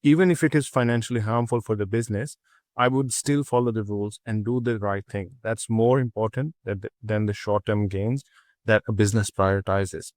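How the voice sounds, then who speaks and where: A young man from Pakistan, a student, reading a prepared reflection in a steady, earnest way with small restarts. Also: lowish voice, fairly quick, no echo, studio quality.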